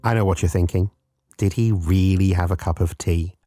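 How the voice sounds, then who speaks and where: A man's voice: deep voice, steady tone, normal speed, mellow, soft background music. A man narrating a scripted folk tale as a podcast storyteller, theatrical and playful, speaking directly to the listener.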